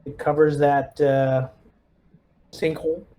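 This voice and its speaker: A man's voice: on the high side, level tone, slow, crowd noise. A man chatting casually as he plays a tabletop roleplaying game, giving orders while thinking aloud. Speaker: player leading a tabletop game squad.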